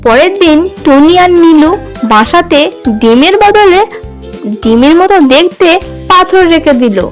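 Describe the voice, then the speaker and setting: A woman storyteller reading a scripted narration for a children's animated tale. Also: very high-pitched, a little slow. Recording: music behind the voice.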